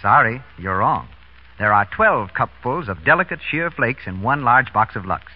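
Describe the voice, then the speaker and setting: An American man announcing and pitching a sponsor's product in 1930s radio style, with a husky voice. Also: very animated, normal speed. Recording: muffled vintage radio broadcast.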